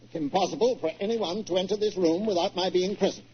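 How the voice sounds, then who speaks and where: An actor playing a wealthy, excitable older British collector with a stammering, blustery manner in a 1940s radio drama. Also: male voice, high voice, quick pace, slightly rough. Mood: assured. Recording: dull, noisy 1940s radio recording.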